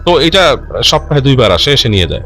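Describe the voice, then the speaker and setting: A man hosting and telling a listener's ghost story in an unscripted, conversational way, halting and rephrasing as he goes and mixing in English words. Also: mid-range voice, big pitch swings, a bit bright, faint music behind. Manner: halting, self-correcting, repeats and rephrases phrases as he goes; mixes English words into his speech. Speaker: host narrating listener-submitted ghost stories.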